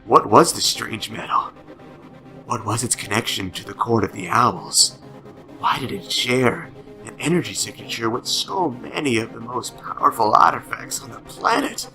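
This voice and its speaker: A man's voice: mid-range voice, normal speed, creaky, sharp tone, low-quality recording. A man giving a theatrical, dramatic reading of a comic book as an audio drama. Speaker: channel host reading comics. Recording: background music under the voice.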